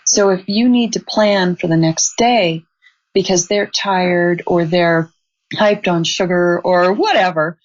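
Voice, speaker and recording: Earnest grandmother giving measured advice. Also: fairly low pitch. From Montana. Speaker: guest, author. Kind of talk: advice in an interview. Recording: slightly dull lo-fi audio.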